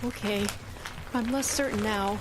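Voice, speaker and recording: A woman's voice: mid-range voice, unhurried, noisy background, lightly acted. An actress playing a nervous young woman on an unclear assignment, stammering and hesitant. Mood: uneasy. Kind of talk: audio drama acting.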